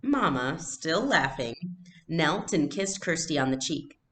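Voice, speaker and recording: A woman teacher reading a storybook aloud in an expressive, explanatory way. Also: low voice, unhurried.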